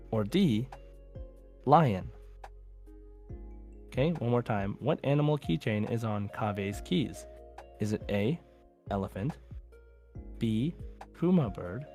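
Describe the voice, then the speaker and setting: A man hosting a podcast quiz in casual banter, slowing down deliberately for questions and options. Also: mid-range voice, warm tone. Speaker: podcast host and quiz master.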